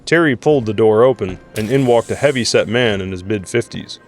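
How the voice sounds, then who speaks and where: A man's voice: fairly low pitch, a little fast, soft background music. A man narrating a scripted audio drama in the role of storyteller.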